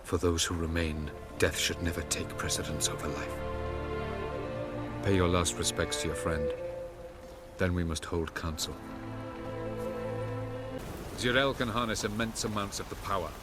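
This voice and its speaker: An actor playing an aloof, haughty elven sage who speaks formally and condescendingly in a video game. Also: male voice, low voice, measured pace, long pauses. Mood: stately. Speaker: game character. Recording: game audio over loud music.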